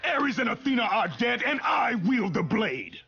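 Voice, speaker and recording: An actor playing a vengeful warrior who defies the gods in a video game, slow and menacing, with a booming voice and grandiose declarations. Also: male voice, sing-song, husky, highs cut off, heavily acted. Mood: roaring fury. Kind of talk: dramatic video game acting. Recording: loud orchestral music behind voice.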